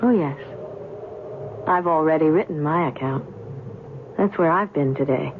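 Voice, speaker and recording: Otherworldly female visitor: gentle, unhurried, measured, on a noisy narrow-band radio drama recording. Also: low-pitched. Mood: tender, assured. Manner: calm, measured, tender. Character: serene otherworldly alien woman, gentle and enigmatic.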